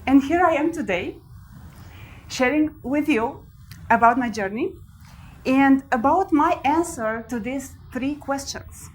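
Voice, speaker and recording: A woman in her forties with a Romanian accent, giving a live talk to an audience with animated storytelling and frequent laughter. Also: sits high, takes it slowly, slight background noise. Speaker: speaker. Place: large event hall with an audience.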